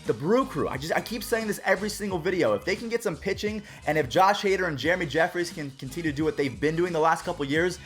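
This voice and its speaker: A man hosting a baseball channel chats to his viewers in a bright, upbeat way. His fairly high voice is a little raspy and hoarse from a cold. Faint music plays underneath. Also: lively intonation, fast talker, few pauses. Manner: chatty, upbeat, addresses viewers directly. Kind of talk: casual vlog commentary.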